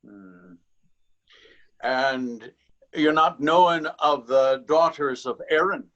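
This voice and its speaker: A suspicious, self-important policeman played by a male actor in a readers theater play. He questions deliberately, on a slightly dull recording. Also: a little high, fairly bright tone. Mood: pompous, probing. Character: officious, suspicious Dublin policeman. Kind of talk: readers theater stage acting.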